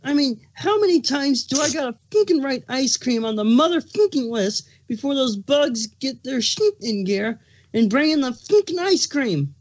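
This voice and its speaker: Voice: sits high, expressive, moderate pace. Pregnant male changeling king, acted by a fan reader: hormonal, exasperated, emphatic, foul-mouthed cartoon monarch. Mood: furious ranting. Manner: ranting, exasperated, emphatic swearing. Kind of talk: fan fiction dramatic reading, cartoon characters.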